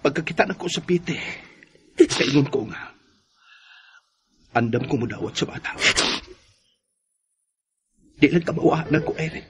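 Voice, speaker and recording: A fairly high, very animated man's voice with a Cebuano Filipino accent. He is a hurt husband who still loves his wife. The radio-drama delivery is halting and emotional, with faint noise behind it. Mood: tearful, pleading. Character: wounded, devoted husband betrayed by his wife.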